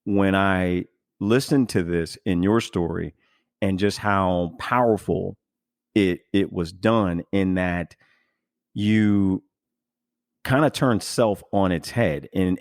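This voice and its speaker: Man, low-pitched voice, dry close sound, podcast host, reflective, halting, earnest delivery. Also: no echo. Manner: halting, searching for words, earnest. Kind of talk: casual reflective conversation.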